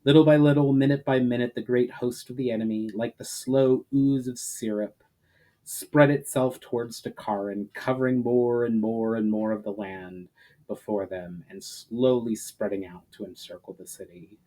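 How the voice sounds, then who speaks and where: A man's voice: medium pitch, steady tone, normal speed, mellow, rough recording. A man narrating a fantasy story in a dramatic storytelling style, occasionally stumbling over a word. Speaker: author and narrator reading his fantasy novel aloud.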